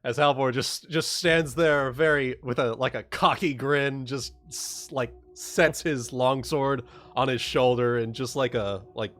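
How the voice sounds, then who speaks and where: A man's voice: mid-range voice, steady tone, measured pace, hardly any pauses, a bit bright. A man narrating and chatting casually as game master of a tabletop roleplaying game. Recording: faint music behind the voice.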